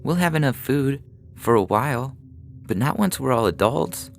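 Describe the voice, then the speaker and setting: A man's voice: medium pitch, lively intonation, normal speed, gravelly, voice pushed up. Guy doing a serious worried kid daughter, pleading, voice pushed way up from his usual, light music behind. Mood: worried, insistent. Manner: pleading, earnest. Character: grave, dutiful young girl, eldest daughter, worried negotiator.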